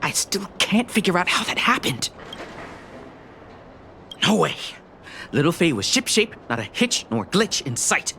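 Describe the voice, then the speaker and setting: An actor voicing a nervous, earnest amateur mechanic in a video game, hesitant and stammering. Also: male voice, sits high, big pitch swings, unhurried, vocal fry, pauses often, pushed and bright, slight background noise, full character voice. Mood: puzzled.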